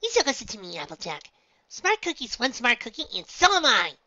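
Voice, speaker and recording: Squeaky, excitable young cartoon pony. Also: female voice, brisk, highs cut off, exaggerated voice. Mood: excited. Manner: gushing, over-excited, argumentative.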